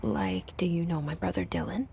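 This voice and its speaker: Hushed, guarded young woman's inner monologue. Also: lowish voice, sing-song, normal speed, gravelly, a little hiss or hum. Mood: guarded, musing. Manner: hushed, often near a whisper. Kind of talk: intimate inner-monologue narration in a game. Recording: loud music behind.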